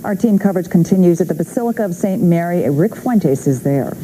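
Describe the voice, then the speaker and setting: A woman's voice: fairly low pitch, animated, fairly quick, dark tone, slight background noise, rough recording. An American woman anchoring a TV newscast with scripted delivery. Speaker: news anchor.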